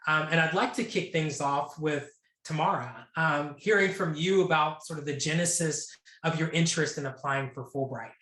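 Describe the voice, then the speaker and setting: Brief casual male panel moderator on a video call, slightly high voice, echoey room. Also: normal speed, sharp tone. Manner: casual, with many fillers and restarts.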